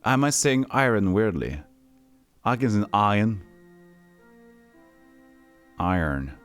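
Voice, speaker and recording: A man's voice: fairly low pitch, very animated, takes it slowly, soft background music. A man from Texas with no regional accent, chatting casually as he streams a retro game, reading game text aloud and answering his chat. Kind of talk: casual gameplay commentary.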